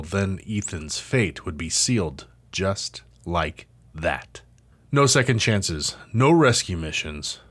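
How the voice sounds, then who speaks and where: A man narrating an interactive audio drama in a suspenseful, theatrical scripted style with dramatic pauses. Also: medium pitch. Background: music.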